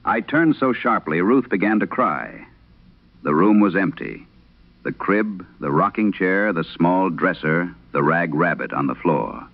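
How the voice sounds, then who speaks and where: A man narrating a vintage radio horror drama as its host, reading in a grave, deliberate, dramatic manner. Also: low-pitched, lively intonation. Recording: narrow-band vintage radio sound.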